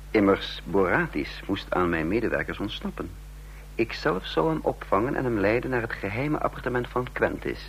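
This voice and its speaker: A man playing a brisk, scheming intelligence chief in a Dutch radio play, curt and commanding, with a husky voice. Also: lowish voice, a little hiss or hum, exaggerated voice, rough recording. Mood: scheming, confiding. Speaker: radio drama lead and narrator. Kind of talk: Dutch radio play acting, dialogue and narration.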